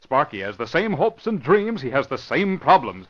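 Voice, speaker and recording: Animated, highish man pitching on old radio. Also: normal speed. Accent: American. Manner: chummy, addressing children and parents. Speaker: radio promo announcer. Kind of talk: folksy 1950s radio promotional pitch. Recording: muffled old radio broadcast recording.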